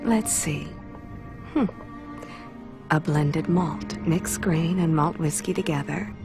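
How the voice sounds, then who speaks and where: A woman playing a cool, composed, thoughtful woman with a wry, measured manner in a video game drama. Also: deep voice, creaky, heavily acted. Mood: musing, appreciative. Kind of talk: dubbed video game cutscene acting. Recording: music behind the voice.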